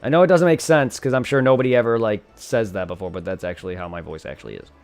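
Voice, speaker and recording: Young male streamer with a gravelly voice, loose rambling chat to viewers. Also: fairly low pitch, fast talker, darkish tone, soft background music. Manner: rambling and self-deprecating, thanks viewers. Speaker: game streamer. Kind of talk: casual live stream chat. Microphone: desk condenser microphone.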